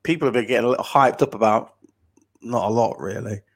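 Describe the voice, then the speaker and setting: A man's voice: mid-range voice, quick pace. Young guy hosting a podcast, chatty and upfront, easygoing voice. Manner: chatty, upbeat, frank. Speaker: podcast host. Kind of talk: casual interview chat.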